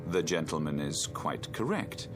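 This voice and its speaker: An actor playing a calm, courteous ship's captain in a radio drama, measured and authoritative. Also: male voice, a bass voice, faint music behind, exaggerated voice. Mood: reassuring.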